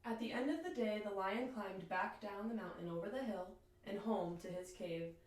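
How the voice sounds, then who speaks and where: A woman reading a children's storybook aloud like a teacher, with expressive, deliberate phrasing. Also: mid-range voice. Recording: echoey room, distant microphone.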